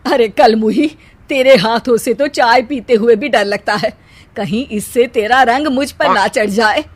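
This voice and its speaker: A woman's voice: a little high, unhurried. A woman voicing a haughty, nagging older mother-in-law in a family cartoon, scornful and melodramatic. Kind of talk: Hindi family cartoon dubbing.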